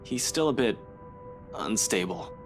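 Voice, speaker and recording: Police investigator for a visual novel: low register, gruff and practical, music underneath. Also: male voice, expressive, unhurried. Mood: grim, matter-of-fact. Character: male police officer investigating, gruff, matter-of-fact. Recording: music playing behind.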